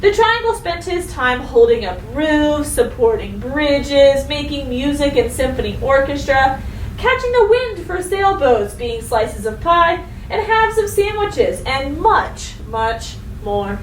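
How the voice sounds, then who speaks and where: Woman, high voice, elementary teacher, children's read-aloud lesson, instructive, set back from the mic with faint background noise. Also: big pitch swings, slow pace, roomy sound, distant mic, rough recording. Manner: instructive, addressing young pupils directly.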